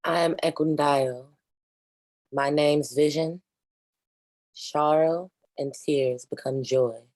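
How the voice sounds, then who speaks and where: Woman acting a very deep-voiced, resilient mother spirit. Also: steady tone, slow pace, darkish tone, no echo. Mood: grave, resolute. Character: grieving yet resilient ancestor spirit, sharecropper wife and mother. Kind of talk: staged play reading, monologue.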